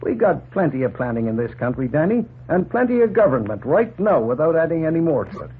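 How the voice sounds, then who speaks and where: An actor playing a plain-spoken, opinionated middle-aged farmer in a folksy, emphatic 1940s radio drama style. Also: male voice, a little high, sing-song, brisk. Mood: worked up. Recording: noisy, tinny 1940s radio recording.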